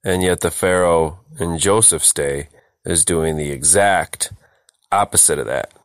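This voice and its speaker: Low-voiced man teaching the Bible. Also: a little slow, dry recording, studio quality. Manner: emphatic, repeats phrases for stress. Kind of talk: verse-by-verse Bible study, reading scripture and explaining it.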